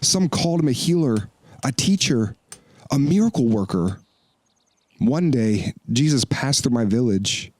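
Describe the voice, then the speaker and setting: A wary, questioning biblical disciple in an audio drama. His voice sits at a middle pitch with a dry, close sound. He speaks with earnest intensity. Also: animated, a little slow, a little mellow, no room sound. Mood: earnest recollection. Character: Thomas, wary, questioning male disciple and builder.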